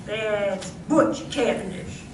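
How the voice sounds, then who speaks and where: A woman's voice: mid-range voice, takes it slowly, natural voice. A woman playing a folksy, hearty male ranch hand with a western drawl in an old-time radio show. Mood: concerned. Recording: echoey room from far off, faint noise.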